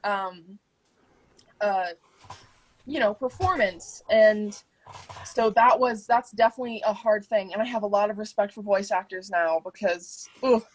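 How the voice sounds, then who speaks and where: A woman's voice: mid-range voice, a little slow, no echo, low-quality recording. A woman co-hosting a podcast, chatting casually and expressively, with many "like" fillers. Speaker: podcast co-host. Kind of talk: casual chat.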